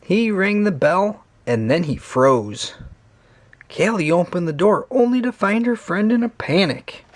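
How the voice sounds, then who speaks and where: A man narrating a children's read-along story in an expressive, swooping storytelling manner. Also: high-pitched, unhurried.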